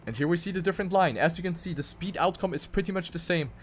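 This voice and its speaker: Higher-pitched man giving live racing commentary. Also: fast, faint music behind. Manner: animated play-by-play, repeats phrases for emphasis. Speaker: esports race commentator.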